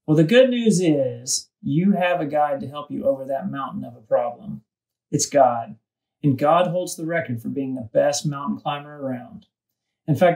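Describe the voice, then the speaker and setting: A man's voice: on the high side, gravelly, a little mellow. A man reading a scripted devotional for children, recorded at some distance from the microphone. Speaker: kids' devotional reader.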